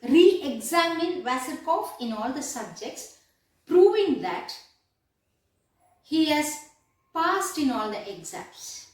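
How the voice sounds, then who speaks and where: High-voiced woman teaching, very echoey. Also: very animated. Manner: deliberate, repeats phrases for emphasis. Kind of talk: lesson retelling a play. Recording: echoey room, distant microphone.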